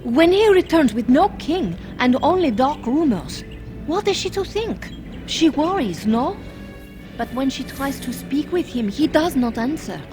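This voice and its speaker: A woman's voice: sits high, sing-song, a little slow. An actress playing an earnest, worried young handmaiden to a queen in a fantasy video game, telling things carefully and urgently. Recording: game audio with music underneath.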